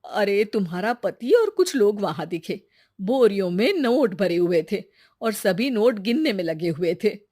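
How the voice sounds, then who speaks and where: A woman's voice: mid-range voice, sing-song, a little slow, dark tone. A woman playing an inquisitive, teasing village woman in a cartoon moral story, with a folksy, familiar manner. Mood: knowing, teasing. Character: inquisitive village woman, teasing, eager to help her neighbours. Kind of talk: Hindi animated moral story acting.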